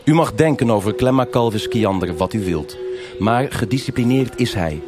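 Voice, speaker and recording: Guy narrating a noir radio drama, lowish voice, measured n formal, loud music under it. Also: animated. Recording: live stage radio play over loud music.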